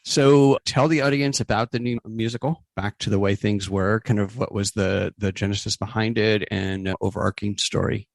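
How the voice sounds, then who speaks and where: A man's voice: fairly low pitch, steady tone, moderate pace, creaky, hardly any pauses, no room sound. A man hosting an interview in a conversational style, setting up questions for his guests. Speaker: interview host.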